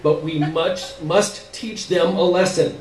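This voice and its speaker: A man's voice: a little high, fairly even intonation, slow pace. An indignant, self-important uncle, acted out by a man reading a story, solemn and emphatic as he schemes petty revenge. The voice is heard in an echoey room, a little away from the mic. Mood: grave, scheming. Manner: pompous, emphatic. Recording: echoey room, distant mic, faint noise.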